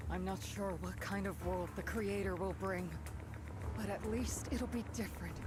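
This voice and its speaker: Slow, solemn vengeful villainess colonel played by an actress. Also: female voice, fairly low pitch, natural voice. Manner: measured, solemn, grandiose. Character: bitter vengeful female military colonel turned calm zealot villain. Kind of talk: dramatic video game voice acting. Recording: loud background music.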